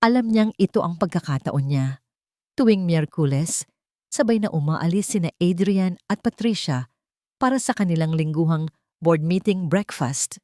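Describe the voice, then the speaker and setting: A woman reads a dramatic romance story as its narrator. Her voice sits a little low and rises and falls with a lot of movement. The recording is dry and free of room sound. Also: animated, normal speed, darkish tone, no room sound.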